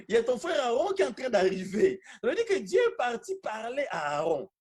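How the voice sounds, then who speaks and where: A man preaching an animated, charismatic teaching sermon, emphatic and repeating phrases for effect. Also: very high-pitched, a little slow, husky.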